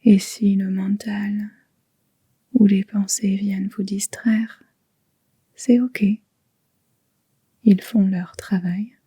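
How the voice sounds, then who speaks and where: Slow, breathy near-whispering woman guiding relaxation. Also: medium pitch, flat delivery, no echo. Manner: slow, gentle, soothing, unhurried with long pauses. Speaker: yoga nidra guide. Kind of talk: guided relaxation meditation.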